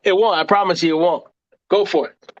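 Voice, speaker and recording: High-pitched man on dull lo-fi audio. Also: steady tone, a little fast. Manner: slangy, repeats short phrases. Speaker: podcast co-host. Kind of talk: casual interjections and banter.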